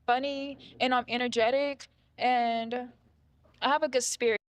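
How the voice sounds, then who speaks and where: Contestant: woman, 30s, relaxed and confident, fairly high pitch. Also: normal speed. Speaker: dating show contestant. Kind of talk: casual conversation.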